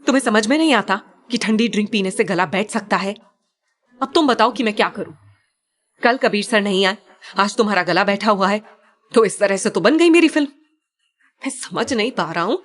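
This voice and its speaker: A woman voicing an irritable, impatient male film director who scolds in fast, loud bursts. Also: medium pitch, expressive, close to an everyday voice. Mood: scolding.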